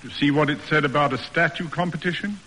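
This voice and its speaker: Forceful, stern old-radio father. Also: fairly high pitch, fast, in a large hall, slight background noise, rough recording. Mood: pointed, formal. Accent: American. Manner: formal, emphatic, declamatory. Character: stern, pompous, exacting middle-aged father, proud and demanding. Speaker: actor narrating and playing the father. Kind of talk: classic American radio drama acting. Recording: old radio broadcast recording.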